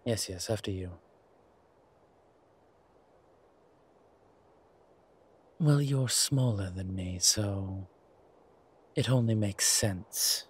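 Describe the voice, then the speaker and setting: A man playing a haughty, wry vampire gentleman in a roleplay audio drama, speaking formally and with refinement. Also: lowish voice, husky. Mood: mildly exasperated. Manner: refined, formal, wry phrasing with hesitant pauses.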